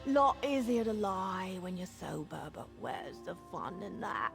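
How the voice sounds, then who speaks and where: A woman's voice: medium pitch, very animated, a little slow, creaky, loud music behind the voice. An actress playing a drunken female squatter in a fantasy video game, rambling, stumbling over words and lying badly. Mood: chatty, tipsy. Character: tipsy, rambling female squatter, chatty shameless bad liar. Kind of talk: video game character dialogue.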